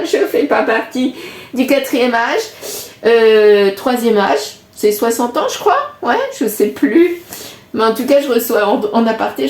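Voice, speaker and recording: A woman's voice: a little high, a little mellow. Chatty woman in her fifties. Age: 50s. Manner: chatty, rambling, with self-corrections. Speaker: card reader. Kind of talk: casual tarot reading monologue. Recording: echoey room.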